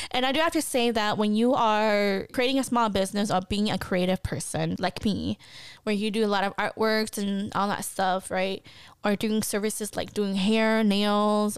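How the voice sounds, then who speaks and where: A woman's voice: mid-range voice, clear, resonant tone, no echo, clean studio sound. A woman in her thirties from the United States, hosting a podcast and talking candidly and chattily to listeners, often laughing. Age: 30s. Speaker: podcast host. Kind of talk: casual personal podcast monologue.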